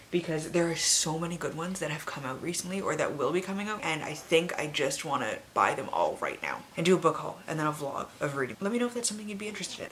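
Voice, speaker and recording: Lower-pitched woman, chatty and noisy background. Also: a bit bright. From Canada. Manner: informal, sweary, emphatic opinions. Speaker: book reviewer, video host. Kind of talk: casual chatty vlog.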